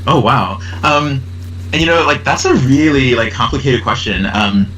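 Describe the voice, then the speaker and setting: A man's voice: mid-range voice, animated, normal speed, bright, forceful tone, a little hiss or hum, rough recording. A man in his forties, from Liberia, speaking as a guest in a casual interview, thoughtful, with frequent ums and likes. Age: 40s. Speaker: guest educator.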